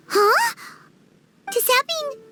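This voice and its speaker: A woman voicing a tiny, excitable floating fairy girl in a video game, with exaggerated reactions. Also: very high-pitched, drawn-out words, full character voice. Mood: surprised, smug. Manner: refers to herself in third person, exaggerated reactions. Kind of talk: animated game dub acting.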